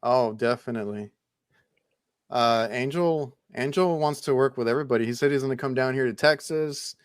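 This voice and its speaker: Male podcast host, smooth clear voice, dry close sound, easygoing questions. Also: medium pitch, normal speed, no echo. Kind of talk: casual podcast chat.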